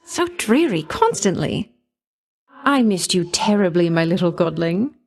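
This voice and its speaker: An actress playing a coquettish, affectionate goddess of love in a video game, speaking in a grand, coy manner full of doting endearments. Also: female voice, on the low side, expressive, measured pace, soft background music. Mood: longing, tender. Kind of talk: video game character voice lines.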